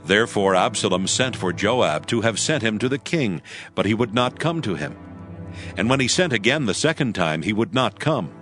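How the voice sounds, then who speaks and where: A man narrating scripture from a script in a solemn, measured, formal storytelling style. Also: on the low side, lively intonation, a bit bright. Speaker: Bible narrator. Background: music.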